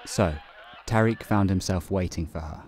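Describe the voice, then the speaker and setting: A man narrating an audio play in a calm, measured and wry way. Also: low-pitched, slight background noise. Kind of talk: scripted narration. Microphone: home recording booth.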